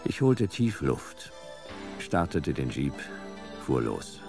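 An actor playing a laconic, hard-boiled future private detective, speaking in terse sentences in a noir radio play. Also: male voice, rumbling low, sing-song, takes it slowly, gravelly, over music, full character voice. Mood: terse, brooding. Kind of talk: noir first-person narration and radio play acting.

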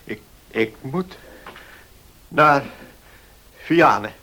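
An actor in a radio play voicing an ailing, stubborn notary who speaks in a halting, strained way. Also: male voice, medium pitch, lively intonation, bright, forceful tone, heavily acted. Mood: strained, insistent. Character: ailing, stubborn notary, desperate to make amends.

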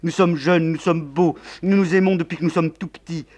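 A man's voice: fairly high pitch, steady tone, moderate pace, darkish tone, pitched above the performer's own voice, close to an everyday voice. A man voicing an ardent young man who pleads passionately with the woman he loves. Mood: pleading. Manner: pleading, impassioned.

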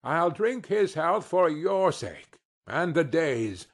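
A man's voice: sing-song, takes it slowly, exaggerated voice. A man doing an indignant, tart mother. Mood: sarcastic. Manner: raised pitch, tart and scornful. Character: indignant working-class mother, sharp-tongued.

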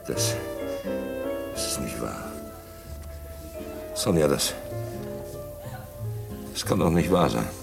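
An actor in a radio drama playing a distraught, indignant businessman who is being blackmailed, halting and emotional. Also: extremely low pitch, animated, vocal fry, music in the background, heavily acted. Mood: shocked, bitter. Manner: halting, emotional, stammering in shock. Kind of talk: mid-century German radio drama acting.

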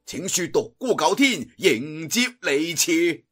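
A man's voice: on the high side, very animated, slow pace, a bit bright, husky, heavily acted. A man voicing a formal, courteous martial arts sect leader, projecting his words with a Cantonese accent. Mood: stern, courteous.

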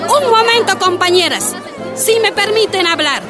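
High-pitched, defiant working-class woman activist. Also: animated, moderate pace. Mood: insistent. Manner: emphatic, declamatory, indignant. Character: defiant Bolivian miner's wife, plain-spoken union activist. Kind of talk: radio drama acting. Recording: music and crowd behind.

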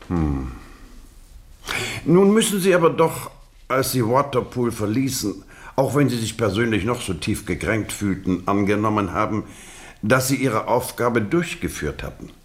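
A probing investigator in a radio drama, with a very animated, swooping delivery. He is measured and elaborately courteous, with an ironic edge to his politeness. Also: on the low side. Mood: pointed questioning. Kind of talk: German radio drama acting, interrogation scene.

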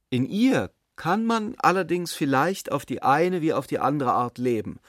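A man's voice: medium pitch, no room sound, clean studio sound. A man reading literary narration and dialogue for a radio play.

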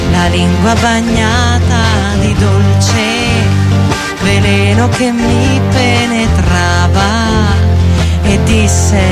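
Lady doing slow dramatic literary reading, loud guitar and loops music behind. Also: mid-range voice. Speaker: reader and singer in an experimental music-theatre piece. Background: music with guitar and electronic loops.

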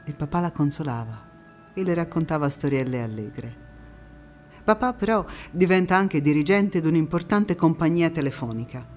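A woman playing a proud, defiant anti-fascist partisan who recalls her youth in a theatrical monologue, with measured phrasing and deliberate pauses. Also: low-pitched, expressive, darkish tone, faint music behind. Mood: fond, wistful. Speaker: stage actress in a one-woman monologue. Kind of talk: theatrical first-person storytelling monologue.

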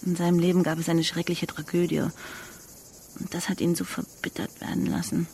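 An actress in a German radio drama playing a proud, guarded young street acrobat with a dry, mocking manner. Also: female voice, on the low side, little pitch movement, fairly quick, dark tone, a little hiss or hum, close to an everyday voice. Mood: wistful. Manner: dry, sardonic.

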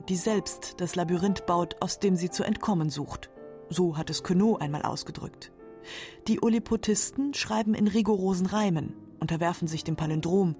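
A woman's voice: on the low side, darkish tone. A woman reading scripted narration for a literary radio documentary, close on a studio microphone.

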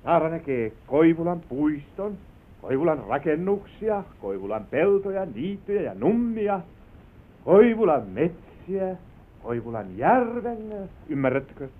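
A man's voice: a little high, sing-song. An actor playing an exuberant, tender country landowner who is a new father, in old Finnish radio play style, effusive and sentimental. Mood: proud, rapturous. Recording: narrow, tinny old radio broadcast.

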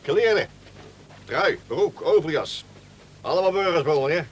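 Echoey, brisk prison guard. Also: male voice, a little high, expressive. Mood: dutiful. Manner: blunt, brisk. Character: gruff, bullying prison guard, deferential to superiors. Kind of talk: Dutch radio play acting. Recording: echoey, noisy old radio recording.

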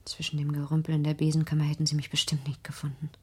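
A reclusive grown daughter in a radio drama, haunted by her own home. Her voice is low and very breathy, close to whispering, with an intense, brooding, fearful edge that turns to accusation. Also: monotone, very fast, dark tone. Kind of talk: radio play acting.

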